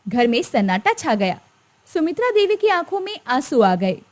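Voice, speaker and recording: Woman, storyteller, high pitch, lively intonation, scripted bedtime story narration. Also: normal speed.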